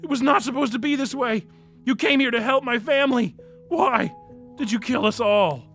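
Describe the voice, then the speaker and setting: Man as a young boy, high shift, shrill, screeching, defiant anguish, storybook melodrama, music behind. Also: moderate pace, vocal fry, a bit bright, heavily acted. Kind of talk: dramatic amateur book reading.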